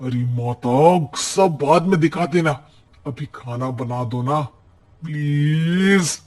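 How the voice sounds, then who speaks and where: A man's voice: on the high side, slow, darkish tone. A man playing a harried, mild-mannered young husband in a cartoon, coaxing and apologetic.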